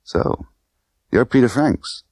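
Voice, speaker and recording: A man's voice: medium pitch, animated, slow pace, darkish tone, slightly rough, dry recording, clean studio sound, pitched above the performer's own voice, full character voice. A man voicing a tough, condescending young woman smuggler who talks in clipped, bossy slang. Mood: dry, businesslike.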